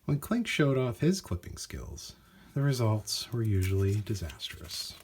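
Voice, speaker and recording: A man reading a children's storybook aloud in an animated, expressive way with dramatic emphasis. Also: fairly low pitch, a little slow, slight background noise. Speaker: storybook reader.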